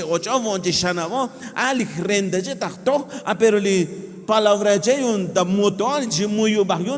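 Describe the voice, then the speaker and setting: A man's voice: sits high, long reverb tail. A man preaching animatedly, mixing languages, with frequent affirmations.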